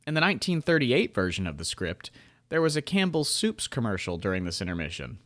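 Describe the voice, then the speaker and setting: A young man narrates in a lowish voice with an animated, lilting delivery, shifting easily into a joking aside to the listeners. He sounds like a storyteller who enjoys the telling. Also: brisk. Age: young adult. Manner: storytelling, lively, lightly humorous aside. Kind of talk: radio drama narration and club announcement.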